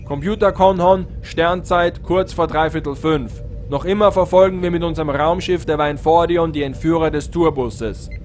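A man playing an excitable, wisecracking spaceship captain in a comedic sci-fi audio drama. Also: on the high side, moderate pace, soft background music, close to an everyday voice. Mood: pompous, businesslike.

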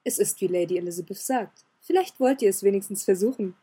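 A woman voicing a suave, polite demon butler who speaks smoothly and with faint amusement. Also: medium pitch, fast talker, dark tone. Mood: courteous.

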